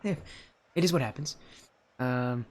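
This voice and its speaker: A teenage boy with a high-pitched, youthful voice, chatting with his live stream audience and casting a video game match, loud and excited on goals. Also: takes it slowly. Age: teens. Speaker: esports caster. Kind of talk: live stream chat and play-by-play commentary.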